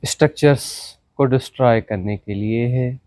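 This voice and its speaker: A man teaching guided meditation, giving instructions in short commanding phrases with pauses and mixing in English words. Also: mid-range voice, darkish tone. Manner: mixes English words into Hindi.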